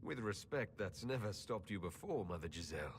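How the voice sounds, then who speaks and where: Inquisition leader, game cutscene: courteous, direct, on the low side, faint noise underneath. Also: male voice, lively intonation, fairly quick. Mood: wry, polite. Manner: polite, direct. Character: composed, courteous male leader of a fantasy inquisition. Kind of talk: video game cutscene acting.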